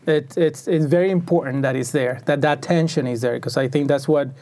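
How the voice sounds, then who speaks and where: A man from Venezuela talks through his answers in a relaxed interview, his voice somewhat higher than most men's and carrying a gravelly edge. He thinks aloud, circling back and restarting his sentences as he goes. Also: brisk. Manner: thoughtful, many restarts and fillers. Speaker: packaging engineer, interview guest.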